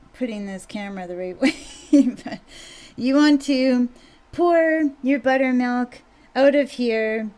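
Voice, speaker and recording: Quiet-voiced woman, casual kitchen vlog. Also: fairly high pitch. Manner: relaxed, rambling, with fillers and mild swearing. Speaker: home cook vlogger. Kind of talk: casual how-to video. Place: home kitchen.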